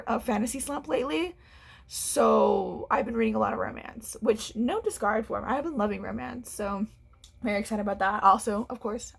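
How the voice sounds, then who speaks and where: A woman's voice: a little high, vocal fry, slightly rough. A young woman, a book vlogger chatting casually at home, a little congested, rambling and restarting as she talks. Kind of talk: casual chat to camera. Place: home room.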